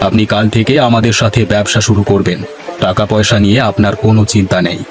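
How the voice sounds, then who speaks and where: A man voicing a businesslike, generous city fish merchant in an animated folk tale, speaking in a formal, measured, authoritative way. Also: lowish voice, close to an everyday voice. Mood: businesslike, assured. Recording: music behind the voice.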